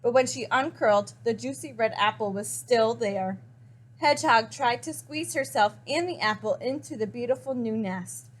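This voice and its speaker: A woman reading a children's picture book aloud expressively, with dramatic emphasis and pauses. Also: fairly high pitch. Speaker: story reader.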